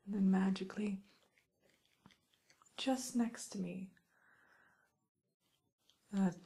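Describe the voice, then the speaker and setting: A woman playing a wry, teasing witch who scolds an intruder in an ASMR roleplay, speaking softly and often in a whisper. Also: deep voice, exaggerated voice. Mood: wistful storytelling. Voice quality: soft, breathy, often whispered. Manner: teasing, musing, mock-stern, theatrical.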